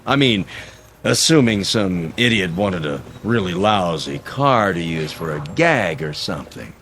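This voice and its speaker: A man's voice: deep voice, sing-song, a little slow, vocal fry, a bit bright, a little hiss or hum, heavily acted. An actor playing a pompous, folksy and shrewd veteran politician, an older man, in an old-time radio drama. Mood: skeptical, probing. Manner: pompous, wheedling, theatrical.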